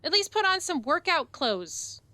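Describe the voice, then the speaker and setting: A woman's voice: very high voice, big pitch swings, unhurried, sharp tone, no room sound, full character voice. A woman acting a young, nagging roommate in an audio comedy, scolding and teasing in a wry, theatrical way.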